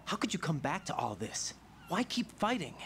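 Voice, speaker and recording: Brief: a tense, questioning young game soldier, animated delivery. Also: male voice, on the high side, normal speed, gravelly, very noisy.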